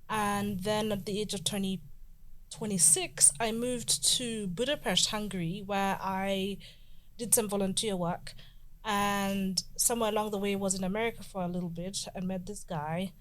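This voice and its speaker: A woman speaks as an interview guest. She is relaxed and chatty, and her voice sits at an ordinary middle pitch. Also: normal speed. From Nairobi, Kenya. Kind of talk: casual interview talk.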